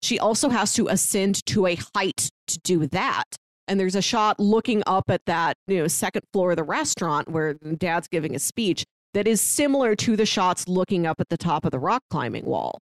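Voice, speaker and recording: A woman's voice: on the low side, animated, normal speed, no echo. A woman co-hosting a podcast, talking casually about film in a chatty, detailed way with playful asides. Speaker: podcast co-host.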